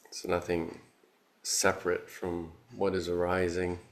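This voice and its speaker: A man leading a guided meditation, speaking calmly with long pauses. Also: sits low, gravelly. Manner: slow, calm, with long pauses. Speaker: meditation teacher.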